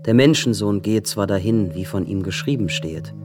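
An actor playing a solemn, prophetic teacher in a German radio drama, with grave, measured declamation. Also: male voice, on the low side, expressive, music in the background, close to an everyday voice. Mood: grave, foreboding.

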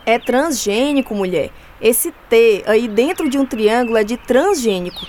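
A woman's voice: on the high side, expressive, normal speed, darkish tone, slight background noise, close to an everyday voice. A woman playing an outspoken, savvy farmer in a radio drama, with a Northeastern Brazilian accent and a folksy, emphatic delivery. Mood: explaining, firm. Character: savvy, outspoken rural farmer woman named Rosa, explaining firmly.

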